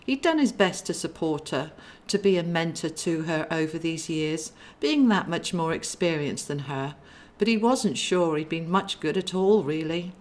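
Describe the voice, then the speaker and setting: Lower-pitched woman reading a story. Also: expressive, normal speed. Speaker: author reading own book. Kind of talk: audiobook narration.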